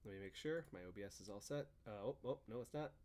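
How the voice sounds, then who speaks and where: A man's voice: mid-range voice, animated, quick pace, darkish tone. A man hosting a podcast, talking in casual banter and chatty ad reads with an emphatic, swinging delivery. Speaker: podcast and stream host.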